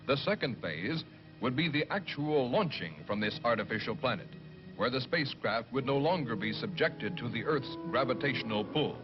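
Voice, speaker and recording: A man narrating a science fiction film in a measured, formal, scripted documentary style. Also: medium pitch, close to an everyday voice. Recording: dull old film soundtrack. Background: prominent music.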